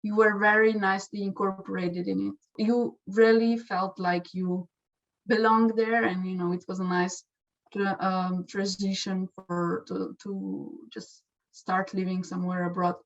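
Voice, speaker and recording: A woman's voice: medium pitch, flat delivery, a little slow, gravelly, lo-fi sound. A young woman sharing her experience as an exchange student in a casual testimonial, speaking with many restarts and fillers. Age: young adult.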